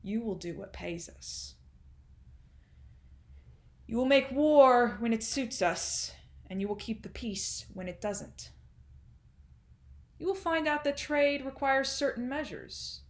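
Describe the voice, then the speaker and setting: A woman's voice: fairly high pitch, lively intonation, slow pace, long pauses, echoey room, voice pushed up. A woman playing a cynical, cruel arms-tycoon father in a stage monologue, with mocking, pompous, theatrical delivery. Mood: imperious, menacing. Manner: sneering, pompous, theatrical oratory.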